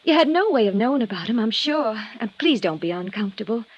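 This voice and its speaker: An actress playing a gracious, effusive young widow in a 1950s radio drama, polite and eager to please. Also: female voice, on the high side, big pitch swings, quick pace, breathy edge. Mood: gracious, fond. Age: young adult. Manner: polite, effusive, soft-mannered. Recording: dull old radio recording, faint noise.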